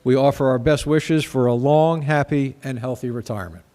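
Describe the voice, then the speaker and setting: A man's voice: medium pitch, normal speed, heavy background noise. A man chairs a council meeting as mayor, his mid-range voice carrying through a reverberant meeting room. He moves easily between formal wording and direct, practical instructions. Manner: formal when reading, then relaxed and direct.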